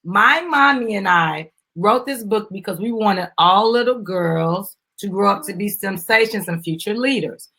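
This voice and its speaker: A middle-aged woman with a slightly husky voice, reading a children's book aloud and chatting with a child and audience. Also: medium pitch, measured pace, few pauses, bright, forceful tone. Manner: addresses the child and audience, prompting with questions. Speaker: mother reading a children's book with her daughter. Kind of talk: dramatic storybook reading with casual asides.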